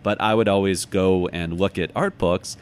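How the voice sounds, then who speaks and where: An American man talking casually as an interview guest, telling stories in an animated, reflective way and correcting himself as he goes. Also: deep voice, normal speed, smooth. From United States, from Chicago. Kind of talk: casual conversational storytelling.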